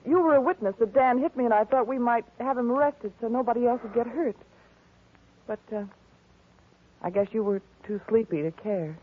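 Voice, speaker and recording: A narrow, tinny vintage radio recording of a woman playing a distressed young American client. She speaks earnestly and pleadingly, with a breathy, husky edge in period drama style. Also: fairly high pitch, normal speed, natural voice. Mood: earnest, explaining.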